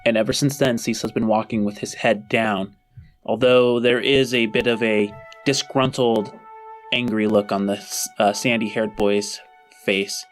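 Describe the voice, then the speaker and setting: A man narrating a tabletop roleplaying game as game master, with dramatic pauses and deliberate phrasing. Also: fairly low pitch, steady tone, faint music behind.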